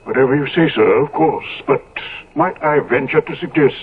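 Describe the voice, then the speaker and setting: An actor playing a deferential, discreetly knowing manservant in a 1970s radio drama, formal and obsequious. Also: male voice, a little high, normal speed, crowd noise, natural voice. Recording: thin, phone-like old radio recording.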